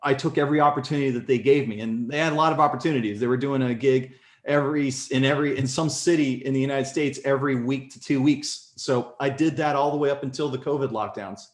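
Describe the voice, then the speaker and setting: A man in his forties telling his story as a podcast guest in casual conversation, animated and leaping up in pitch when excited. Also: quick pace. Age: 40s. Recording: echoey room.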